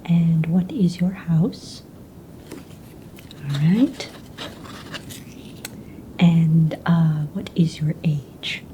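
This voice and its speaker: A woman playing a calm, businesslike young fantasy egg hunt official in a breathy, soft-spoken ASMR roleplay, casual and matter-of-fact. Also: deep voice, very slow, pauses often, slight background noise, low-quality recording. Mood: calm, polite. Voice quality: breathy, whispery.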